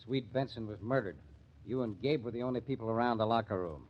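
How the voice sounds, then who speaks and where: A man's voice: mid-range voice, fast, muffled recording, natural voice. A man playing a tough, suspicious police detective in an old-time radio crime drama, needling and sardonic, with an American accent. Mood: accusing. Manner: needling, hard-boiled interrogation.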